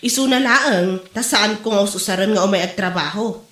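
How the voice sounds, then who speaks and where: A woman's voice: mid-range voice, fairly quick, a bit bright, lightly acted. A woman acting as a gossipy, indignant co-worker in an Ilocano comedy drama, with an Ilocano Filipino accent and an exclaiming delivery. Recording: roomy, echoey room sound.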